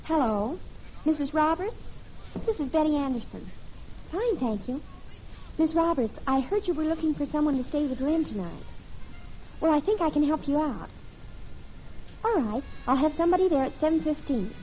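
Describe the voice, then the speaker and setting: High-voiced, earnest teenage girl. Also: lively intonation, moderate pace, pauses often, dark tone. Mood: eager, polite. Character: earnest, eager teenage daughter organizing things. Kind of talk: 1950s radio sitcom acting. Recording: muffled, noisy old radio recording.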